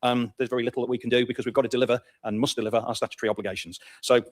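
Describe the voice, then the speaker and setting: A man's voice: mid-range voice, little pitch movement, racing. A man speaking as a councillor in a debate, confident and joking at rivals. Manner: confident, jokey.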